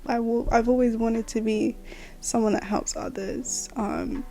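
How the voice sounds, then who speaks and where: A woman's voice: a little high, steady tone, measured pace, mellow, soft background music. A woman in her late twenties hosting her own podcast, talking casually and rambling with fillers. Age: 20s. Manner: chatty, rambling, with laughs and fillers. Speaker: podcast host. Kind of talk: casual solo podcast chat.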